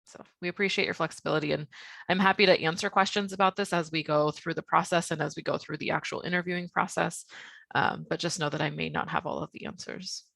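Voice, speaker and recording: A woman gives an informal, candid explanation as a coordinator. Her voice is bright and forward with marked creak, and it comes through very dry and close, with a measured delivery. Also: low voice, steady tone, dry recording.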